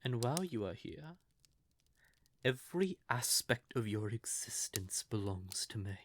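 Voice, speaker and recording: A man's voice: medium pitch, sing-song, no room sound. A man playing a betrayed, possessive vampire lover in an audio drama monologue, theatrical, condescending and coldly controlling. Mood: possessive, menacing. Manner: theatrical, slow, condescending, deliberate.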